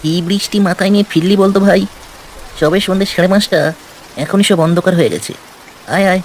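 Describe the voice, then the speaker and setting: A man voicing a fussy, chatty village tea-stall keeper in a cartoon story, animated and complaining in a colloquial way. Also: sits high, brisk, slight background noise, rough recording. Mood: welcoming.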